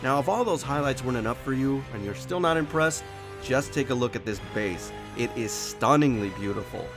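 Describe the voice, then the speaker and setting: A man narrates a collectible statue review while sick, his voice sitting deeper than usual. He talks at a middling pitch, piling on eager praise. Music plays clearly beneath him. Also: expressive, brisk. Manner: effusive, enthusiastic praise. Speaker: collectible toy reviewer, show host. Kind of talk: product review voice-over. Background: background music.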